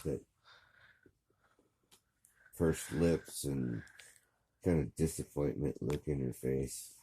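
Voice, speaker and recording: A man in his sixties with a rough, worn voice, talking slowly and easily in a casual chat at home. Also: very deep voice. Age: 60s. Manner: slow, relaxed storytelling with drawn-out fillers.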